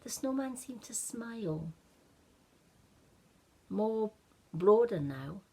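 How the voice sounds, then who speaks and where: A woman narrating a children's story expressively, with hushed wonder and dramatic pauses. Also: medium pitch, expressive, slightly rough.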